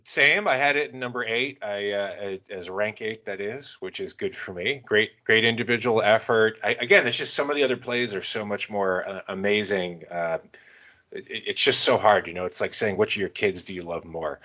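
A deep-voiced man co-hosting a hockey podcast as the fan, rambling and joking about how he ranks plays. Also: moderate pace, bright, forceful tone, muffled recording. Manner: rambling, self-deprecating, joking. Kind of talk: casual podcast chat.

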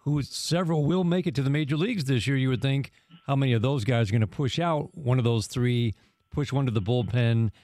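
A man co-hosting sports radio, working through his analysis in a measured, even voice. His voice sits at a middle pitch. The close studio mic sounds dry. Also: no room sound. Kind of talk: casual sports talk radio.

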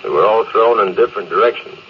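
A man's voice: lowish voice, a little fast, natural voice. A man playing a stern, stoic spaceship captain in an old-time radio drama, terse and authoritative. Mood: grim, controlled. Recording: muffled, hissy vintage radio recording.

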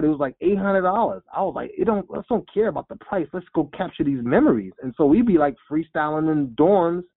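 A man in his forties tells his story with animated energy, voicing his younger self as he goes. His voice sits a little high. The sound is thin and lo-fi, like a phone line. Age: 40s. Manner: animated storyteller, acts out quotes. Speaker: guest, rapper. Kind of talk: storytelling interview.

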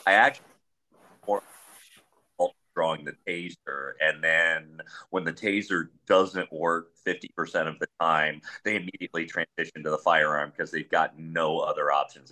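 A man with a big voice that sits very low, with a bright, forceful edge to it. He speaks bluntly and measuredly as a police trainer on a discussion panel.

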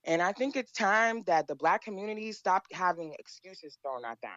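A woman's voice: fairly low pitch, lively intonation, normal speed, fairly bright tone, no room sound, muffled recording. A woman speaking as an interview guest, blunt and emphatic, repeating her points. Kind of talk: opinionated interview talk.

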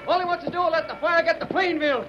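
An actor playing a hostile, agitated frontier rabble-rouser in an old-time radio western. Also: male voice, very high-pitched, racing. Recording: muffled old radio, noisy crowd, distant.

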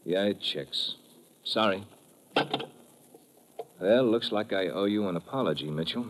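An actor playing a guarded, tough American army lieutenant in a 1950s radio drama, talking in terse slang. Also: male voice, fairly low pitch, a little slow, noisy background, lightly acted. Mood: sheepish, apologetic.

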